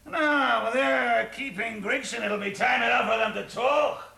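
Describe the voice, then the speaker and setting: Sloshed irish braggart, gravelly and husky, laughs a lot, brags and shouts. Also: male voice, a little high, animated, unhurried, exaggerated voice. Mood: drunken bluster. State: drunk. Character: drunken boastful Irish lodger, middle-aged man.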